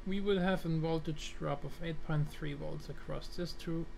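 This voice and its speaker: A man thinking aloud through a technical walkthrough, halting and pausing mid-sentence as he reasons. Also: a little high, fairly even intonation, creaky, a little mellow, a little hiss or hum. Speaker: electronics hobbyist presenter.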